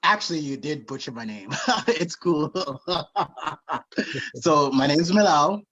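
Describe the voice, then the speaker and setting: A man from the Bahamas introducing himself as a guest on a video call, friendly and quick to laugh. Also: on the high side, lively intonation, fairly bright tone, husky. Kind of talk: casual video-call chat. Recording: dull lo-fi audio.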